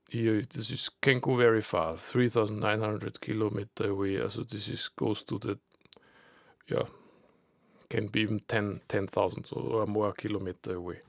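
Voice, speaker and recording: A man who is not a native English speaker explains an idea informally and unscripted, halting and repeating himself as he searches for words. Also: on the low side, vocal fry, no echo, clean studio sound. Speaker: amateur radio enthusiast presenting an idea.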